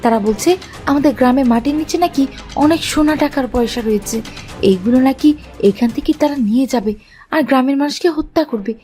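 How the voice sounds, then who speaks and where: A woman's voice: high-pitched, brisk, dark tone, soft background music, close to an everyday voice. A woman voicing a brave young village girl in a fairy-tale cartoon, earnest and dramatic in manner. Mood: anxious.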